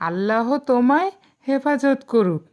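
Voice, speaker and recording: A woman's voice: on the high side, lively intonation, a little mellow. A woman voicing a loving, devout mother with a tender manner in an Islamic moral cartoon. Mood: loving, proud. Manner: tender, unhurried.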